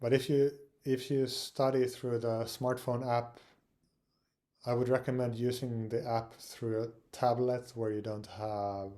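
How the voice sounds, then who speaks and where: Hesitant slow-talking man. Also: mid-range voice, level tone, mellow. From Finland. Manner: hesitant, many fillers and repeated words. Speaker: language learning channel host. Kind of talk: casual spoken advice.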